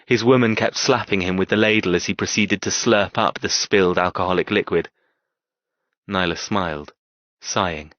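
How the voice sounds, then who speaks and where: A man narrating a dark romance audiobook in the first person as a cold, brooding, tormented man, terse and intense. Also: low voice, fairly even intonation, normal speed, no room sound, muffled recording. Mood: cold, guarded.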